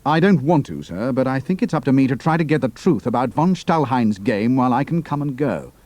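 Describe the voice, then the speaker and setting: A male actor plays a brisk, plucky young flying officer in an audio drama. He has a British accent and a mid-range voice with lively, mobile intonation. The recording is very dry. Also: medium pitch, no room sound, close to an everyday voice. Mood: clipped, cool.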